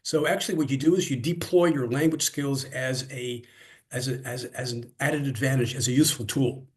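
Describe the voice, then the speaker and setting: A man's voice: medium pitch, fairly even intonation, brisk. A man who grew up in Canada, speaking as a guest in a casual interview, thoughtful and often restarting his sentences. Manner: thoughtful, many restarts and repeated words. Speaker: interview guest, cross-cultural coach. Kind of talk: conversational interview.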